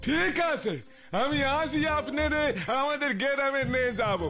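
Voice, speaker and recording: A man's voice: very high-pitched, unhurried, creaky, over loud music, full character voice. A man voicing a simple, cheeky village servant with a rural Bengali dialect in a cartoon. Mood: eager boasting. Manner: naive, folksy, muttering complaints. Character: simple, cheeky rustic male servant sidekick, muttering back. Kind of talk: animated cartoon dialogue.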